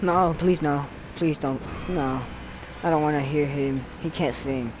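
A man giving excited, panicky commentary while playing a driving game, repeating words rapidly when things go wrong. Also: a little high, background noise. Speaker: gameplay commentator.

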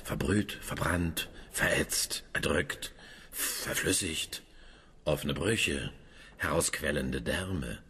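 A man playing a jaded, morbid character with a rough, husky voice in a radio drama. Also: very deep voice, takes it slowly, pushed and bright, heavily acted. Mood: morbid relish. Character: morbid, jaded man relishing gruesome deaths.

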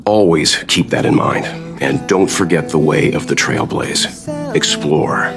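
Deep-voiced, measured mentor. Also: male voice, over music. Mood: solemn, instructive. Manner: measured, deliberate, formal. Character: calm, composed older male expedition leader, a mentor. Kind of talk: video game cutscene dialogue.

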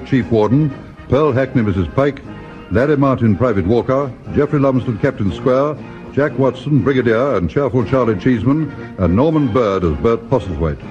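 A man's voice: medium pitch, a little mellow. Male announcer over music. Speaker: continuity announcer. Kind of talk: scripted credits reading. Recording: dull old radio broadcast over music.

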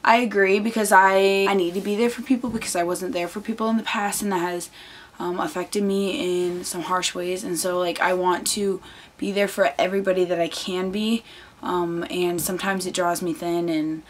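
A woman's voice: medium pitch, a bit bright. Teen girl with a tired, strained voice. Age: teens. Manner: chatty, earnest, many fillers. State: losing her voice. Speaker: vlogger. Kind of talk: casual chat to camera. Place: bedroom, indoors. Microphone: camera on desk, close framing.

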